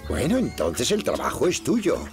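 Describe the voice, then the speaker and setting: A man playing a kindly, patient older neighbour in a children's cartoon, with a Castilian Spanish accent and gentle, encouraging manner. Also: mid-range voice, wildly swooping pitch, normal speed, vocal fry, music in the background.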